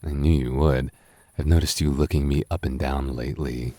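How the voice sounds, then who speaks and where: Very deep-voiced flirty tipsy roommate played by a man. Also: steady tone, normal speed, dark tone, no echo. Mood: flirty, intimate.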